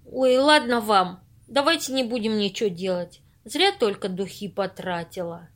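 A woman's voice: mid-range voice, expressive, moderate pace, close to an everyday voice. A woman acting an earnest, anxious and strict character in an amateur audio play, speaking in a fretful, reproving way. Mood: worried.

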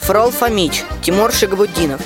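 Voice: mid-range voice, expressive, moderate pace. An announcer reading out a cast list in a scripted manner. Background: instrumental music.

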